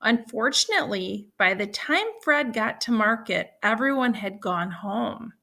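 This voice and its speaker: A woman teaching young children, reading a story aloud and explaining it in a deliberate, instructional way. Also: a little high, sharp tone.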